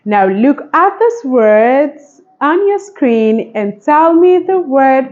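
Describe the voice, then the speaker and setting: A woman's voice: sits high, sing-song, slow pace, a little mellow, muffled recording. A woman hosting a phonics lesson for young children, speaking in an encouraging, sing-song manner with simple repeated instructions. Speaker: phonics teacher and channel host.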